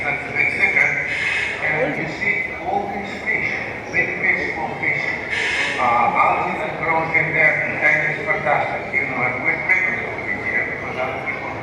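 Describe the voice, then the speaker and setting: A man with a Greek accent and a slightly high voice speaks informally to an audience, halting yet enthusiastic. He sounds far from the mic in a large, very echoey hall with loud noise around him. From Greece. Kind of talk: live informal talk to an audience. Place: large public aquarium exhibit hall, amplified.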